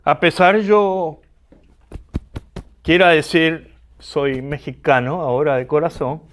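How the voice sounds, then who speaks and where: A man's voice: a little high, steady tone, takes it slowly, slight background noise. A man from Argentina with an Argentine accent, giving a classroom lecture and often asking the students rhetorical questions. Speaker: seminary lecturer.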